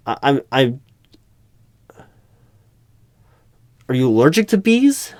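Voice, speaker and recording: Flustered, expressive male recruiting manager, acted by a man. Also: medium pitch, no echo. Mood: bemused, hesitant. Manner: halting, stammering, puzzled. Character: unobservant, flustered but patient male corporate recruiting manager. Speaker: job interviewer. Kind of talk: one-sided ASMR roleplay, spoken aloud. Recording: faint noise, computer keyboard typing clicks. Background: keyboard typing and clicks.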